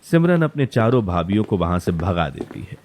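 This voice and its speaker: A man's voice: low voice, very animated, normal speed, a little mellow. A man narrating a scripted story as its storyteller.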